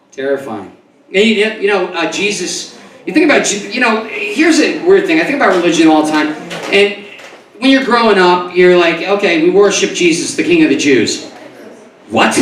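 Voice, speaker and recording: A man from New York doing a stand-up comedy set, rambling and stumbling over his words, then breaking into loud comic outbursts. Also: high-pitched, big pitch swings, normal speed. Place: comedy club stage before a live audience. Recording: echoey club recorded from a distance, crowd audible.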